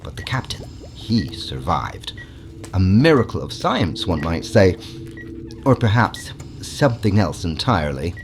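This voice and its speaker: Fussy, swooping alien mad scientist. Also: male voice, low voice, big pitch swings, measured pace, a little hiss or hum. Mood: secretive, marvelling. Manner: theatrical, fussy, scolding, dropping into conspiratorial hushes. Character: eccentric, secretive alien scientist doctor, bug-eyed and fussy. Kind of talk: audio drama character acting.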